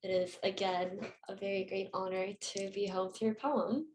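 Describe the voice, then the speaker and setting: Young woman host, mid-pitched, roomy echo around her voice, casual and friendly, loose with fillers. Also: little pitch movement, normal speed, a little mellow. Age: young adult. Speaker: journal host interviewer. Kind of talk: hosting a reading Q&A. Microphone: video call. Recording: roomy video call audio.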